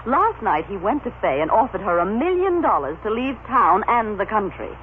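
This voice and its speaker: A woman playing a cold, calculating, sharp-tongued society wife in an old-time radio soap opera. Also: medium pitch, big pitch swings, normal speed, natural voice. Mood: gloating. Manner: haughty, sharp-tongued, imperious. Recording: scratchy, narrow-band old radio recording.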